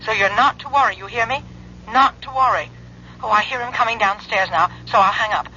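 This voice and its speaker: A muffled, slightly noisy telephone effect from old radio carries a brisk mother. Her very sharp, bright voice is clipped and insistently reassuring. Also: on the high side. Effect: telephone filter. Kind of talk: 1970s radio drama acting. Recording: thin telephone line, hum, old radio.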